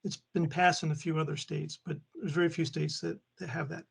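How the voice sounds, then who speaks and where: Man with a gravelly, flat voice. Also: on the high side, a little fast. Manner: plain, informative. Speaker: meeting participant. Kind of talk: explaining a traffic law. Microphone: video call.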